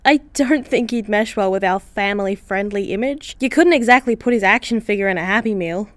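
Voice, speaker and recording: A woman's voice: medium pitch, a little fast, no echo, close to an everyday voice. A woman playing a skeptical, image-conscious superhero judge in a comedy radio play.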